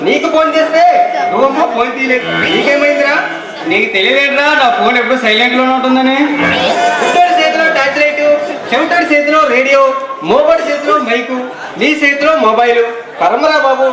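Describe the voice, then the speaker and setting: A man's voice: extremely high pitch, fast talker. An actor playing a rowdy, hard-drinking political gang man in a Telugu stage play, projecting theatrically. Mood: exasperated. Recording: echoey stage recording with loud music.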